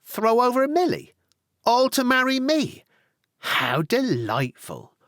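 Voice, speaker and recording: A man voicing a coy, excitable country girl. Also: on the high side, takes it slowly, no echo, clean studio sound, pitched above the performer's own voice, heavily acted. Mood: delighted. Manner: rustic dialect speech.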